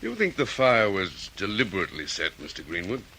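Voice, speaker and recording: A man's voice: low voice, huge pitch swings, fairly quick, bright, forceful tone, full character voice, rough recording. A man playing a laconic, inquisitive senior detective in an old radio drama, musing and asking probing questions. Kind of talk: 1940s radio drama acting. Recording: vintage radio recording, faint noise.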